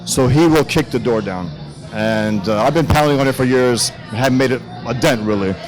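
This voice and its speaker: A man from the Bronx with a New York accent, answering interview questions haltingly with many pauses and fillers. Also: medium pitch, music in the background, rough recording. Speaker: guest cartoonist.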